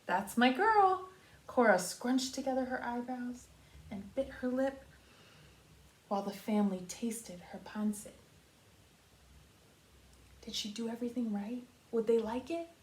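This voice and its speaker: A woman reading a children's storybook aloud like a kindergarten teacher, expressive and pausing to talk to young listeners. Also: a little high. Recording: roomy echo.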